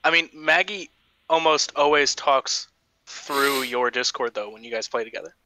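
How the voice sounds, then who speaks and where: A man's voice: mid-range voice, normal speed, sharp tone, slight background noise, low-quality recording. A man chatting bluntly and offhand with friends during an online game. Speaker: gaming friend. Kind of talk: casual gaming chat.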